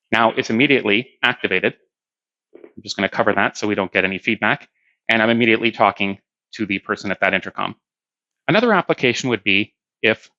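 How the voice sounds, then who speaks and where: A man's voice: fairly low pitch, pushed and bright, no room sound, highs cut off. A man giving a hands-on product demonstration, explaining plainly step by step.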